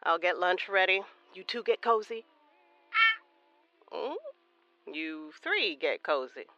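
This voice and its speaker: Bright-voiced, lively older mother. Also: lowish voice, slow, lo-fi sound, heavily acted. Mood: affectionate, teasing. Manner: affectionate, playfully bossy. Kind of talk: audio drama acting.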